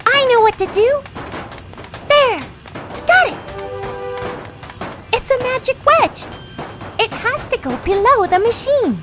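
Very high-pitched playful little royal child. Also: female voice, expressive, slow, long pauses, heavily acted. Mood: excited. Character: playful little royal child, bold yet easily spooked. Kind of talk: children's cartoon voice acting. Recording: music under the voice.

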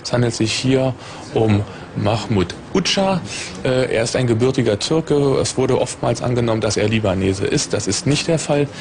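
A man's voice: mid-range voice, lively intonation, moderate pace, noisy background. A man in his forties, a uniformed police officer, giving an interview statement in a formal, official way in a police office. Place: open-plan police office, indoors.

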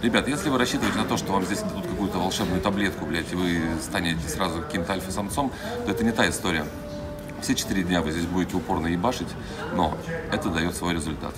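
Very deep, blunt, swearing man. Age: 30s. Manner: blunt, swearing, fast-talking. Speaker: entrepreneur giving a testimonial. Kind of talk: casual testimonial. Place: indoor hotel lobby. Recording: loud backing music.

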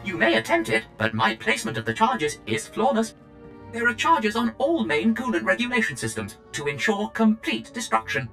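Prim, bright, high-pitched demolition droid. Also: male voice, sing-song, moderate pace, faint music behind, exaggerated voice. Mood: smug. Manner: prim, pompous, precisely enunciated. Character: polite, smug demolition droid proud of its work. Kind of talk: video game cutscene acting.

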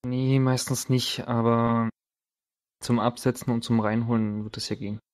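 Medium-pitched man, slangy and casual. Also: flat delivery, moderate pace, darkish tone. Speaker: game master of a tabletop role-playing game. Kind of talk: casual game-table talk.

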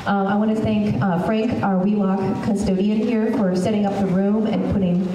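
A woman in her thirties, hoarse from a head cold, giving a friendly welcome speech at a school concert through a handheld mic, with lots of ums. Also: mid-range voice, level tone, moderate pace, mellow, a little hiss or hum. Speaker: school strings teacher. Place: school hall, large room. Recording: echoey hall, taped from far off.

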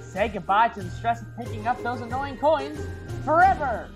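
A woman playing an eager, peppy pitchwoman in a parody radio advertisement, upbeat and salesy. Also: lively intonation, normal speed, natural voice. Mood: eager selling. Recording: music under the voice.

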